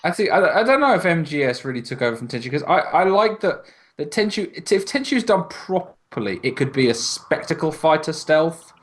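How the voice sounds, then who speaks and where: A man's voice: on the high side, very animated, a little fast. A man chatting casually and giving opinions as co-host of a gaming podcast.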